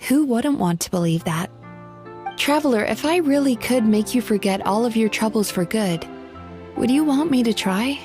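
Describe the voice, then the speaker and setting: A woman voicing a calm, reassuring psychologist spirit who eats dreams, in a video game. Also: a little high, close to an everyday voice. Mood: gentle. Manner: soft, measured and reassuring. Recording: faint game music behind.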